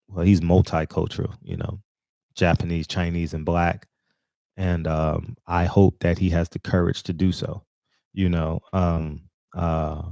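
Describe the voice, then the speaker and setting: A man in his forties hosts his own commentary channel, talking off the cuff. His voice sits very low, and the sound is close and dry with no room around it. He rambles, restarting sentences and leaning on fillers. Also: gravelly, a little mellow, dry recording. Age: 40s. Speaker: channel host. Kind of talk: casual commentary monologue.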